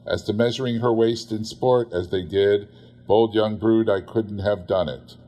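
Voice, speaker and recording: Slow, lowish-voiced man narrating a story. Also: fairly even intonation, mellow. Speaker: audiobook narrator. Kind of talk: expressive literary story narration.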